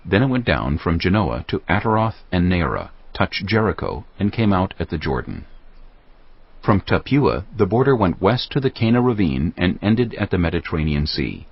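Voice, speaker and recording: A man narrating scripture from a script as a measured, solemn reading. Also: low voice, sing-song, slightly rough, no room sound. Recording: muffled audio with music behind.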